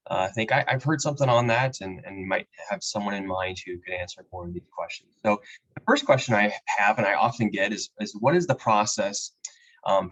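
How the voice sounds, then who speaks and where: A man with a fairly low voice walks through a legal topic for a webinar audience. He is measured and explanatory and pauses often on fillers. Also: fairly bright tone, poor audio. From Peru. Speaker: immigration attorney, guest speaker. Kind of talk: webinar presentation. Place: home or office with a map on the wall.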